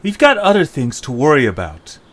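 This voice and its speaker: A man's voice: on the high side, huge pitch swings, fairly quick, a bit bright, voice pushed up, full character voice. A man playing an earnest, exasperated young leader in a fantasy audio adventure. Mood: exasperated, surprised.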